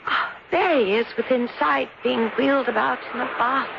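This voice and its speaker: A fairly high voice with a creaky edge, a frail and melancholy young English lady. She speaks delicately and politely, in old radio drama style. Clear noise sits behind her. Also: normal speed. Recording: noisy dull old radio broadcast.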